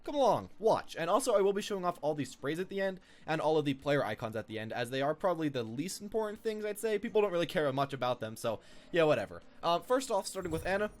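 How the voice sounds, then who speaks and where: A man's voice: on the high side, sing-song, quick pace. A man giving casual, unscripted, rambling commentary as the host of a gaming video. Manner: rambling, informal.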